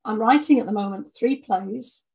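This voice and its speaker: A woman's voice: fairly high pitch, moderate pace, gravelly, mellow, slightly rough. A woman answering interview questions in a conversational way, as a guest writer. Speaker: interview guest, audio dramatist.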